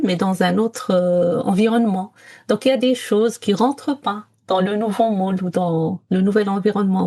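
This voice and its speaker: A woman's voice: mid-range voice, a little mellow. A woman from Morocco talking casually and reflectively as a podcast co-host, searching for her words and mixing in English words. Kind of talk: casual reflective conversation, personal storytelling.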